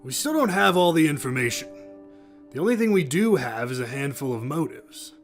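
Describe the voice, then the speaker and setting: A man's voice: on the high side, big pitch swings, a little fast. A man playing a blunt, questioning detective in an amateur sci-fi audio play. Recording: music under the voice.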